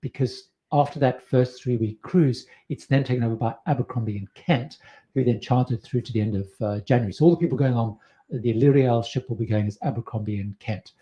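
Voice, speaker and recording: A man's voice: mid-range voice, warm tone. A middle-aged man hosting a casual live question-and-answer stream, talking loosely with many fillers and restarts. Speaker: livestream host answering viewer questions.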